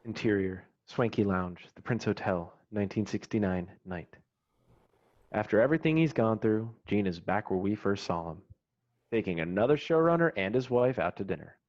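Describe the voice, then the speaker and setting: A man reads screenplay stage directions as a scripted narrator. His voice sits fairly low, and the recording is a little dull and lo-fi, like an online call. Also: expressive, moderate pace, darkish tone.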